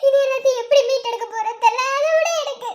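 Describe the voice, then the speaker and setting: Actress as distraught young mother for a family serial: extremely high, childlike pitch, crying, plaintive, doubting. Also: a little fast, fairly bright tone, highs cut off. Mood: tearful, anguished. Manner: tearful, plaintive, questioning. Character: anguished young mother, full of doubt about her child. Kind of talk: melodramatic family serial acting.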